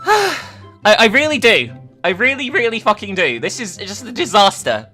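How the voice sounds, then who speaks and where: An online host giving a dramatic reading of a horror story, with animated, sweary commentary. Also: medium pitch, moderate pace, soft background music. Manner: animated, sweary, incredulous in asides.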